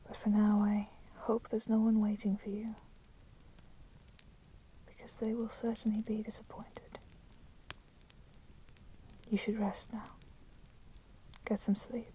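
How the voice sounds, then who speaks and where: A woman playing a coolly menacing, possessive female vampire in a whispered ASMR roleplay, with soft, breathy delivery and formal, deliberate phrasing. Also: mid-range voice, lightly acted. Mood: possessive, softening. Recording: steady background noise, crackling fire sound. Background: crackling fire.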